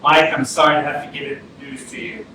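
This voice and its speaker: An actor playing a blunt, seasoned police detective in a staged reading, speaking sternly and deliberately with some swearing. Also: male voice, fairly high pitch, monotone, close to an everyday voice. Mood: grave. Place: indoor arts centre stage, staged reading. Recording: echoey theatre from far off, noisy.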